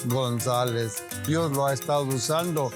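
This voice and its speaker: A man's voice: medium pitch, unhurried. A man giving a spoken testimonial as a member of a congregation. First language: Spanish. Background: music.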